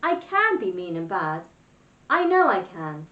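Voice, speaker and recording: A woman's voice: lowish voice, sing-song, measured pace. A woman voicing Gertie, an eager young girl who pleads and wails as she tries to be bad, heard in a storybook reading. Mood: pleading. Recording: distant, roomy, dull lo-fi sound.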